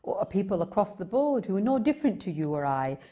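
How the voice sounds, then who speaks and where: A woman with an English accent hosting a conversational interview, asking long, deliberate questions with hesitations. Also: medium pitch, sing-song, mellow, dull audio. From England. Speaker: TV host, interviewer.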